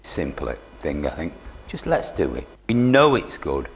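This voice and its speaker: Deep-voiced older man, reverberant hall. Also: very animated, measured pace, a little hiss or hum. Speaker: community radio station manager, interview guest. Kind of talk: unscripted live interview. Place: radio studio stage in an indoor market. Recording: long reverb of a live hall.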